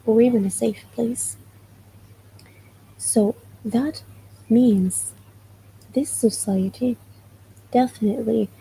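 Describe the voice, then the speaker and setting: Slow, gravelly-voiced Palestinian woman. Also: mid-range voice, dark tone, a little hiss or hum, poor audio. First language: Arabic. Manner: explanatory, often asks "you know what I mean?". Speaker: livestreamer. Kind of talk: casual live stream answering chat.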